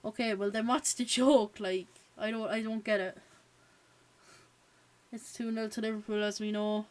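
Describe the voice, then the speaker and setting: A woman's voice: mid-range voice, flat delivery, moderate pace, a little mellow. Chatty Irish woman streaming. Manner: chatty, with frequent "um" and "yeah" fillers; reads chat aloud and thanks viewers. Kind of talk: live watch-along chat and match reactions.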